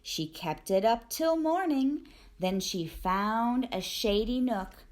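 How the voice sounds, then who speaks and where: A woman reading a rhyming children's storybook aloud in an expressive, deliberate storytelling manner. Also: fairly high pitch. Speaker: teacher reading a picture book aloud.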